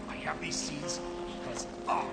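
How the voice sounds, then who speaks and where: An actor playing a deranged, gloating killer who believes himself divine, with theatrical delivery in a video game cutscene. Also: male voice, fairly high pitch, level tone, moderate pace, creaky. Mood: menacing. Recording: loud music over the dialogue. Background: loud game soundtrack music.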